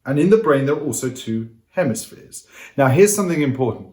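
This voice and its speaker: A man's voice: medium pitch, animated, brisk, dark tone. Upbeat man teaching in a roomy space. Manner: upbeat, coaxing, addresses viewers directly. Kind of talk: energetic interactive lesson to camera. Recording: roomy echo.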